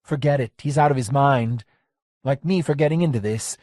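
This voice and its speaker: A man's voice: medium pitch, moderate pace, darkish tone, no echo, clean studio sound, voice pushed up, lightly acted. A man voicing a blunt, streetwise woman in an audiobook, with a curt, dismissive manner in a raised voice.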